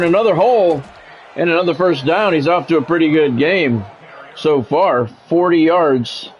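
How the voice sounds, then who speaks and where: A man's voice: fairly high pitch, a little mellow, slight background noise. A man livestreaming a game, mixing casual, opinionated chat with sports play-by-play commentary. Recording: dull lo-fi audio, music behind. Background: music.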